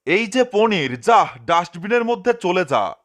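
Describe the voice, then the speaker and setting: Man playing a high, lively, fussy cartoon householder. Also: a little slow. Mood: self-satisfied. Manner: animated, declaiming to himself. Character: fussy male cartoon householder, grumbling and self-satisfied. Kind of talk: fan-dubbed cartoon comedy.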